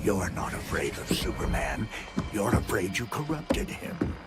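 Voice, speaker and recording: A game villain with a low, heavy voice and an unhurried delivery. He is a sinister psychiatrist, taunting his victim with clinical menace over loud music. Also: big pitch swings, vocal fry, exaggerated voice. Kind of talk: video game voice acting.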